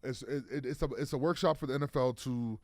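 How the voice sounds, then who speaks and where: A man's voice: medium pitch, steady tone, fast, no echo, studio quality. A man in his thirties, a football player guest, talking casually in an interview with lots of fillers and restarts. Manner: rambling, many fillers and restarts.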